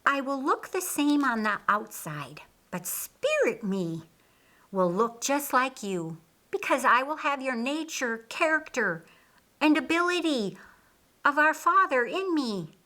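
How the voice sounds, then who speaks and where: A woman's voice: high voice, big pitch swings, unhurried, pushed and bright, pitched above the performer's own voice, heavily acted. A woman voicing a thoughtful, wondering woman follower in an audiobook, speaking in a pondering, searching way. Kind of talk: audiobook character dialogue.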